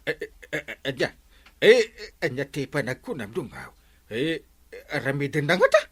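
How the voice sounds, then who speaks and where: A man's voice: a little high, very animated, moderate pace, slightly rough. A man with an Ilocano accent playing a flustered, evasive village captain who stammers through a radio comedy. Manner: stammering, full of hesitant interjections.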